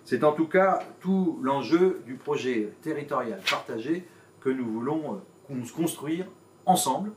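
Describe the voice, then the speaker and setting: Roomy distant recording, lower-pitched-to-average male voice, formal civic speech, measured emphatic phrasing, hesitant pauses. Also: expressive, background noise. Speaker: mayor and intercommunal president. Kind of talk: formal public address.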